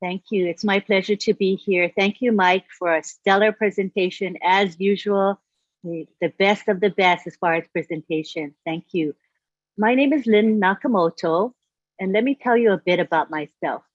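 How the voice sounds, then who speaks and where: A woman's voice: mid-range voice, moderate pace, no room sound, dull audio. Woman in her sixties telling her story. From Hawaii. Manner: chatty storytelling, frequent "you know". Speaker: guest speaker. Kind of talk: personal testimonial on a live stream.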